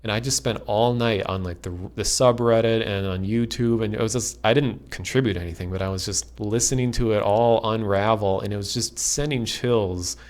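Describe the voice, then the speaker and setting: A man's voice: fairly low pitch, fairly even intonation, moderate pace, hardly any pauses, a little mellow. A man hosting a livestream, explaining things in an informal, rambling and enthusiastic hobbyist way. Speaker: livestream host. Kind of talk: casual livestream talk explaining a radio hobby.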